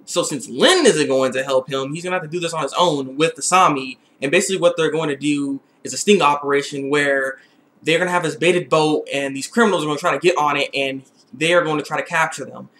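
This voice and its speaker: A young man giving a casual, excitable episode recap, repeating phrases for emphasis. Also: a little high, quick pace. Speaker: TV episode reviewer. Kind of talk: casual video recap and review.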